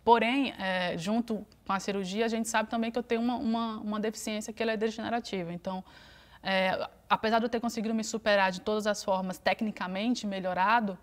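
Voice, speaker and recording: A woman in her thirties from Brazil, a guest on a TV studio interview, speaking in a relaxed, reflective way with frequent fillers. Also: medium pitch, a little fast. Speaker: interview guest, Paralympic swimmer.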